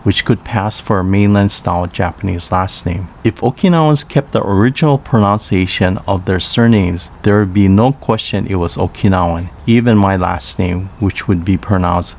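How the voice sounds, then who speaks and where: A man narrating a language lesson in a careful, scripted way, reading pairs of names slowly. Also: sits low, gravelly, a little hiss or hum. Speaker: language teacher.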